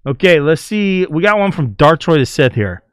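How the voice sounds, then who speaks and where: A man hosts a casual reaction video in a fairly high, animated voice. He comments on clips as they play and reads captions aloud playfully. The sound is dry, with little room to it. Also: moderate pace, clear, resonant tone, dry recording. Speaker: YouTube host.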